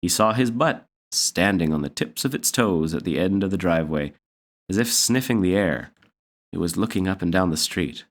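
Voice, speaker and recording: A man's voice: low-pitched, animated, moderate pace. A man narrating a children's story aloud from a book as a story reader.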